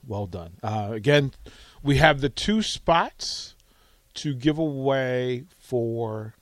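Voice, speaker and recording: A man hosts a casual radio show in a middle-pitched voice. He talks conversationally and likes to repeat a phrase to drive it home. The studio sound is dry. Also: dry recording. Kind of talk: casual chat.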